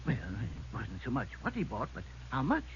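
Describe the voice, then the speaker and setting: Folksy little druggist, muffled old radio. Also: male voice, medium pitch, huge pitch swings, normal speed. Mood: obliging. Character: mild little neighborhood druggist, helpful and chatty. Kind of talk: 1950s radio drama acting. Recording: muffled old radio recording, faint hum.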